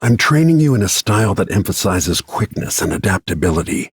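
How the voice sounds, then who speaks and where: A middle-aged assassin from a video game, grim and bent on revenge. The voice sits deep, with heavy gravel and a very raspy husk, recorded dry. Lines come out terse and brooding, always under control. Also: male voice, very animated, a little fast, no echo, exaggerated voice. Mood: grim, determined. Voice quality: gravelly, husky. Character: grim, vengeful middle-aged male assassin bodyguard and protective father.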